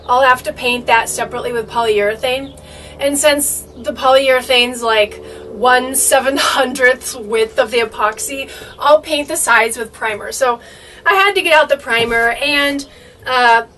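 Young woman, chatty, upbeat, lots of "uh" and "like", small RV interior, distant camera mic. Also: sits high. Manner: chatty, excited, many fillers. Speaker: RV DIY vlogger. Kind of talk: casual DIY narration. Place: inside an RV at a dining table. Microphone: camera mic from across the table.

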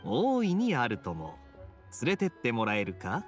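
An actor playing a suave, sly young knight captain in a Japanese video game, teasing and self-assured. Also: male voice, mid-range voice, wildly swooping pitch, moderate pace, soft background music. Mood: playful.

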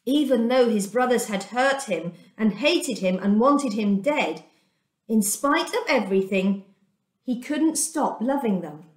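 A middle-aged woman reads a children's story aloud in a fairly high voice. Her delivery is deliberate and expressive, with plenty of rise and fall. The recording has the echo of a room at home. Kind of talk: scripted storybook reading for children. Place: small room at home, indoors. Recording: echoey domestic room.

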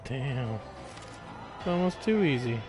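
A man's voice: medium pitch, animated, takes it slowly, music in the background. A man giving casual live gameplay commentary, playfully talking to the game and its characters as he plays. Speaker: game streamer.

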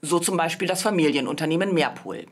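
A woman's voice: low-pitched, fast talker, breathy edge. A woman reading a scripted news voice-over as a reporter.

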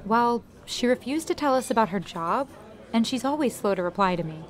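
Woman playing young woman, slightly high voice, friendly, queasy, flustered, audio drama. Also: animated, fairly quick, close to an everyday voice. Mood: chatty. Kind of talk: amateur audio drama acting.

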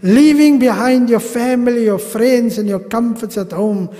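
A middle-aged man with a South African accent teaches a religious class in a large mosque hall, speaking slowly into a podium mic. His voice sits high but mellow, and the long reverb of the hall trails behind each phrase. He is animated, gesturing widely and slipping in humorous asides. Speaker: sheikh teaching a pilgrimage class. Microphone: gooseneck podium mic.

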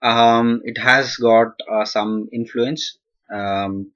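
A man's voice: mid-range voice, steady tone, extremely slow, a bit bright, highs cut off. A young man with an Indian accent, his first language not English, answering viewer questions in a recorded slide lecture, full of "uh" fillers and restarts. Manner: hesitant, many "uh" fillers and restarts. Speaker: analytics instructor.

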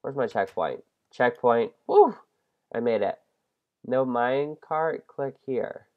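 Congested young male game host, animated, self-deprecating, prone to yelps. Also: mid-range voice, slow, narrow, tinny audio. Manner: excitable, self-deprecating, shrieks at mistakes. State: congested from a head cold. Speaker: gaming channel host. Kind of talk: casual Minecraft let's-play commentary.

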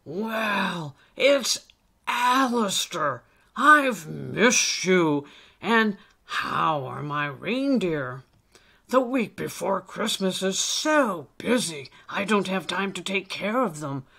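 A woman voicing a jolly, kindly old male elf in a children's story, with a hearty, drawn-out delivery. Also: fairly low pitch, sing-song, creaky, pushed and bright, slightly rough, full character voice. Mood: delighted.

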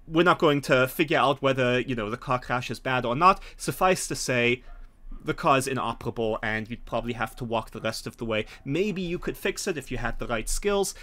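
A middle-aged man narrating a tabletop game as game master, conversational and halting as he thinks it through. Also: mid-range voice, lively intonation, sharp tone. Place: home room, indoors, on a video call.

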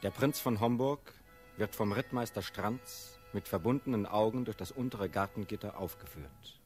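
A man's voice: fairly low pitch, moderate pace, a little mellow, soft background music. A man reading scripted stage directions as the narrator of a radio play.

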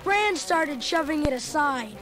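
A chubby, food-loving boy narrates in a very high voice, chatty and full of comic asides. The telling is scripted storybook narration. Music runs underneath throughout. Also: lively intonation, a little slow.

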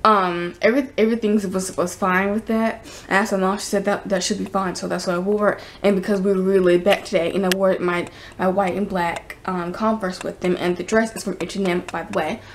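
Young american girl vlogger just chatting, kinda rambly and halting, normal pitch, bit of background noise on the camera mic. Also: fairly even intonation. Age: teens to young adult. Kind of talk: casual chat to camera. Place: indoors, home room. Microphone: camera at arm's length. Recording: noisy handheld camera recording with room ambience.

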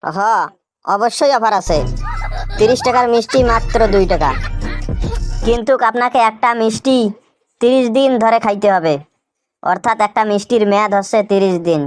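A woman's voice: a little high, lively intonation, normal speed, long pauses, over music, natural voice. A woman with a Rangpur Bangladeshi accent voicing a sly, argumentative shopkeeper in a comic cartoon, talking like a mock salesman. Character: sly, vengeful shopkeeper with absurd offers.

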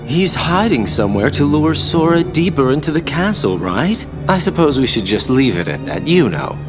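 A man's voice: on the high side, very animated, moderate pace. A man playing a sly young schemer in a game cutscene, wry, casual and flippant. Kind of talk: video game cutscene acting. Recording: music under the voice.

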